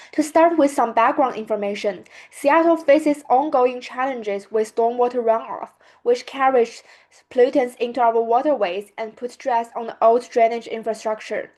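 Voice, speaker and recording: Young woman presenting her capstone, slightly high. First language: Mandarin Chinese. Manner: steady, earnest, reads from slides with small restarts. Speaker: student presenter. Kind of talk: prepared academic presentation.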